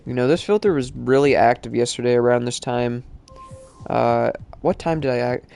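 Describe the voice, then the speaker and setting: A man's voice: mid-range voice, moderate pace, vocal fry, darkish tone, clean tone, faint music behind. A male streamer chatting casually while playing, sounding congested from allergies and rambling with lots of fillers. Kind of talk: live-stream gaming chat.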